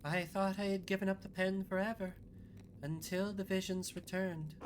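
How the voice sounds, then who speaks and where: A performer voicing a devout visionary nun, a gentle, bewildered old woman who speaks in a solemn, reverent, measured way over music. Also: medium pitch, fairly even intonation, a little hiss or hum, natural voice, low-quality recording. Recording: music under the voice.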